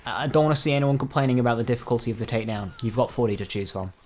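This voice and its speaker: A man with a medium-pitched voice gives casual commentary on gaming news. He speaks informally, as a video creator does to viewers, and slips in dry, joking asides. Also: steady tone, very fast.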